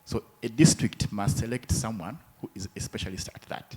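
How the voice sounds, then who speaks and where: A man from Uganda speaks from the floor at a conference, his voice husky and fairly low. He lays out his points in a formal, measured and methodical way. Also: a little mellow. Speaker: hospital administrator. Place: conference room.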